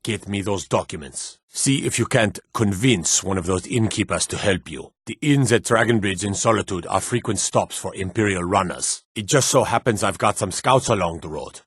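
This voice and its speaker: A man playing a stern soldier guard in a fantasy war video game, with a gruff, commanding manner. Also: fairly low pitch, normal speed, few pauses, a bit bright, dry recording, clean studio sound. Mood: briefing orders. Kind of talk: scripted fantasy game dialogue barks.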